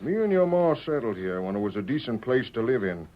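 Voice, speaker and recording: A man's voice: mid-range voice, sing-song, a little fast, natural voice. A man playing a stubborn, hot-tempered, honest frontier father in an old radio western, with folksy rural speech. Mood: rueful. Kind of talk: 1940s radio western acting. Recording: muffled old radio recording.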